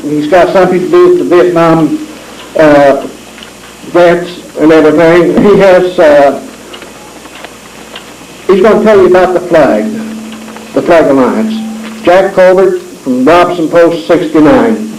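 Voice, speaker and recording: A man's voice: on the high side, a little slow, darkish tone, a little hiss or hum. A man, emceeing a veterans' event and introducing speakers casually with many fillers. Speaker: master of ceremonies. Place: reunion hall with echo. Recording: echoey hall amateur recording.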